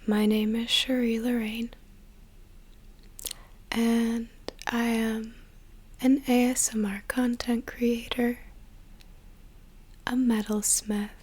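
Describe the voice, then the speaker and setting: A young woman whispering a slow, soothing guided meditation as an ASMR creator, with a soft breathy voice. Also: fairly high pitch.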